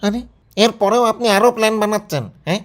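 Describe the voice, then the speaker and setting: A man as a rapid-fire, exasperated phone interviewer. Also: high voice, close to an everyday voice. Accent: Bangladeshi. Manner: scolding, mocking, incredulous. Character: exasperated, sarcastic interviewer grilling a politician by phone. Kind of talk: scripted satirical phone-call sketch, colloquial.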